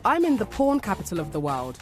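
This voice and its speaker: A woman's voice: mid-range voice, big pitch swings, brisk, soft background music. A woman presenting a documentary, moving between scripted narration and interview questions.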